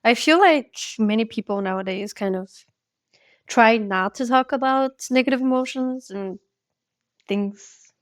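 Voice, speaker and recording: A woman's voice: a little high, a little slow. A woman co-hosting a podcast, asking questions and chatting in an earnest, talkative way. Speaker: podcast co-host. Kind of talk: casual podcast chat.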